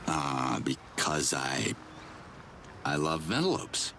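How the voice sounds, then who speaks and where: An actor playing a brash, wisecracking hero in a video game, talking in a casual, sarcastic and cocky way. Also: male voice, rumbling low, big pitch swings, takes it slowly, bright, forceful tone, slight background noise, full character voice. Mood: wry. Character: wisecracking human man, brash heroic outsider. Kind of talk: video game cutscene acting.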